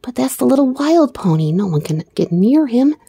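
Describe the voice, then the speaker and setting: A woman's voice: a little high, big pitch swings, normal speed, dark tone, husky, dry recording, voice pushed up, heavily acted. A woman voicing a firm, disbelieving grown-up male bear, a father figure in a storybook. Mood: stern, disbelieving. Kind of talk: storybook character voice.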